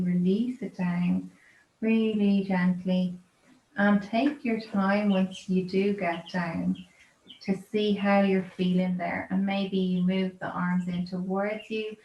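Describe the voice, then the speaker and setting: Echoey woman guiding gentle yoga relaxation. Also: mid-range voice. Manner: gentle, soothing, unhurried, informal phrasing. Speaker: yoga and meditation teacher. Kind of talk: guided yoga relaxation instruction. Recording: roomy echo, dull lo-fi sound, clear background noise.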